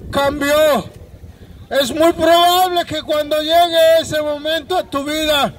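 Slow earnest street preacher, high voice. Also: female voice, a little hiss or hum, rough recording. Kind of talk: outdoor street sermon. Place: city sidewalk outdoors. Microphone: handheld mic at a podium, far camera.

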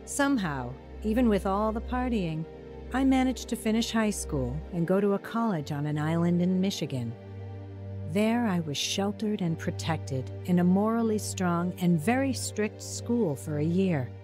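A woman playing a narrator who looks back on her troubled youth in measured, reflective audio drama storytelling. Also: mid-range voice, lively intonation, a little mellow, faint music behind, lightly acted. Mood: reflective, regretful. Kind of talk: scripted first-person dramatic narration.

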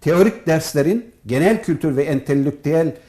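Guy, kinda high but really soft mellow voice, formal professor giving measured interview answers. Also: lively intonation. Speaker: professor and department head, interview guest.

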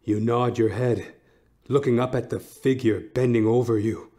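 A man narrating a scripted horror story in a deliberate, dramatic, suspenseful manner. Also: mid-range voice, steady tone, warm tone. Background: music.

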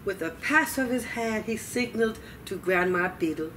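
An older woman telling stories to children at a library, deliberate and expressive, with dramatic pauses. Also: medium pitch. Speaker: library storyteller. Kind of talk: children's story time, expressive read-aloud. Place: library children's room, indoors. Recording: distant mic, noisy room ambience.

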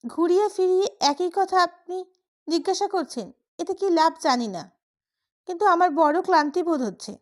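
A woman's voice: very high voice, expressive, moderate pace, pitched above the performer's own voice. A woman voicing a weary, restless man who has lost his memory, polite and detached, in an audio story.

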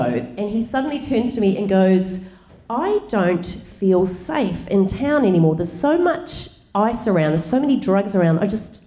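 A woman in her forties, co-hosting a live comedy podcast on a theatre stage, telling playful anecdotes in an animated way. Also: a little high. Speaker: guest co-host. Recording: roomy live theatre sound.

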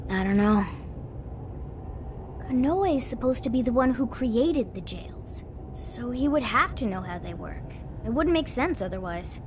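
A woman's voice: on the high side, expressive, measured pace, noisy background. A woman voicing a clever, businesslike talking cat who leads with analytical decisiveness in an anime game. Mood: analytical, decisive.

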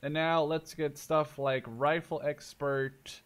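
A man in his twenties giving casual, excitable let's play commentary, thinking out loud as he goes. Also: fairly high pitch, takes it slowly, clean tone. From Canada or the Netherlands. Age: 20s. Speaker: gaming video host.

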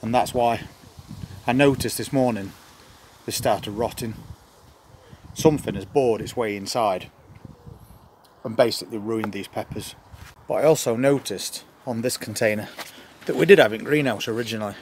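A man's voice: on the low side, normal speed, long pauses, a little hiss or hum. A man in his sixties with an English regional accent gives casual, plain-spoken gardening commentary in an understated way. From United Kingdom. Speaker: gardening vlogger. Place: outdoors in an allotment garden and inside a greenhouse.

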